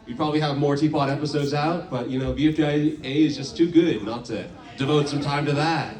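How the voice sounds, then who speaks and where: A man answering fan questions on a live panel in a relaxed, matter-of-fact way. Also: on the high side, fairly quick. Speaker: show creator on a panel. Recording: roomy and distant.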